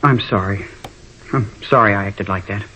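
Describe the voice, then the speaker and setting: A man's voice: fairly low pitch, moderate pace, sharp tone, a little hiss or hum. A man playing a contrite American teenage schoolboy in a 1950s radio drama, hesitant, earnest and slangy. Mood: remorseful. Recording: muffled vintage radio recording.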